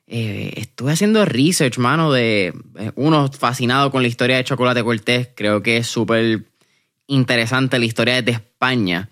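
A man's voice: mid-range voice, moderate pace, a bit bright. A man with a Puerto Rican accent hosting an interview podcast, slangy and friendly, with fillers. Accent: Puerto Rican Spanish. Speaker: podcast host.

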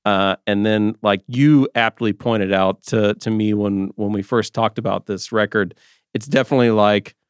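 A man's voice: on the low side, fairly even intonation, moderate pace, clean tone, no room sound, clean studio sound. A man co-hosting a music podcast, chatting casually and rambling with lots of filler words. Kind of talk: casual chat.

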